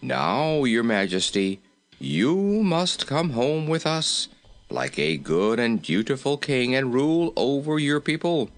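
A man's voice: on the low side, big pitch swings, slow, a bit bright, exaggerated voice. A man voicing a respectful, firm royal courtier in a storybook, formal and deferential yet insistent. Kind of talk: storybook character voice.

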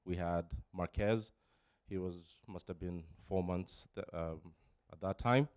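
Low-voiced man giving a halting testimony. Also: steady tone, a little mellow, no echo. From Kenya. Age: 40s. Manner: halting, many fillers and restarts, emotional. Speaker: church member giving testimony. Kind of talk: personal testimony. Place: church. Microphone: handheld microphone.

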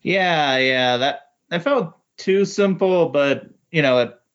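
A man chatting casually as a podcast host, with a halting, hesitant delivery. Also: fairly high pitch, fairly bright tone. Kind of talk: casual chat. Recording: muffled lo-fi audio.

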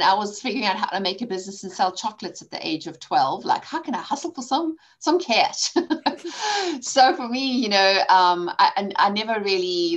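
A woman in her forties from South Africa, a guest in a conversational interview, telling her story candidly with quick asides. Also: medium pitch, a bit bright. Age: 40s. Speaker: podcast guest, coach and author.

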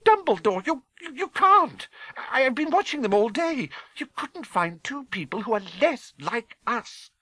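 Prim, high-pitched older witch acted by a man. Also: huge pitch swings, unhurried, a bit bright, slightly rough, dry recording, heavily acted. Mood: shocked, indignant. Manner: faltering, exasperated, emphatic.

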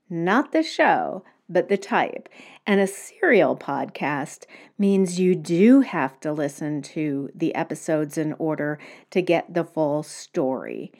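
A woman hosting her own podcast, talking in a gentle, conversational way from a cottage in the woods. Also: low voice. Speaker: podcast host. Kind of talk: solo podcast talk.

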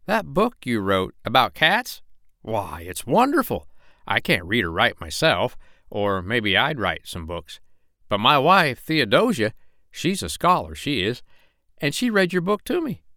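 A man's voice: mid-range voice, huge pitch swings, unhurried, bright, forceful tone, no echo, clean studio sound, pitched above the performer's own voice, exaggerated voice. A man voicing an eager, uneducated street meat seller with a folksy, wheedling manner for a children's story. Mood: eager, admiring. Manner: wheedling, colloquial, emphatic.

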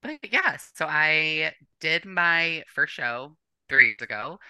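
A young adult woman with a North American accent, telling personal stories as an informal, animated, slangy podcast guest. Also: deep voice, slow pace, smooth, dry recording. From born or raised in Canada, later the United States. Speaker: podcast guest, competitive bodybuilder. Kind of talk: casual chat, personal storytelling.

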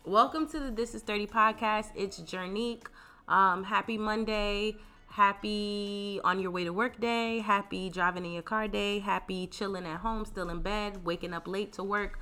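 A woman's voice: medium pitch, fairly even intonation, moderate pace, pushed and bright. A woman in her thirties hosting a podcast, sounding congested and talking in a chatty, laid-back way. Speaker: podcast host. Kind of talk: casual podcast chat.

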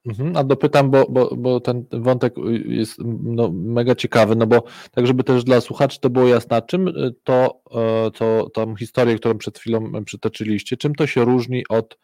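A man's voice: mid-range voice, fairly even intonation, normal speed, hardly any pauses, dark tone, no room sound. A man interviewing casually, repeating words and stumbling as he forms his questions. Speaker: podcast interviewer.

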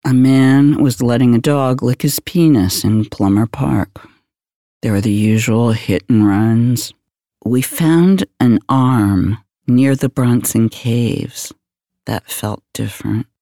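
An actor playing a world-weary older male detective, recalling things in a deadpan, brooding noir monologue. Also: medium pitch, slow, no echo, clean studio sound. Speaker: police detective.

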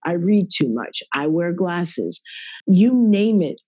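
A middle-aged woman with a deep, raspy voice, a podcast guest speaking earnestly about her faith and growing up. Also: big pitch swings, slow pace, mellow, no room sound. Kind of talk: reflective podcast interview. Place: at work.

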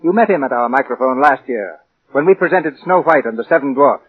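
A man's voice: mid-range voice, expressive, fairly quick, breathy edge. A man presenting a radio show in a formal, scripted 1940s style, grand and oratorical. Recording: muffled old radio broadcast with music.

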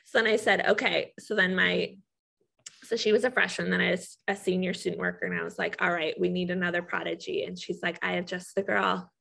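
Woman, thirties, casual co-host, animated, tense bright tone, gravelly edges. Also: on the low side, a little fast. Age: 30s. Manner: animated storyteller, acts out quotes. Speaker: podcast co-host. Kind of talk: casual chat.

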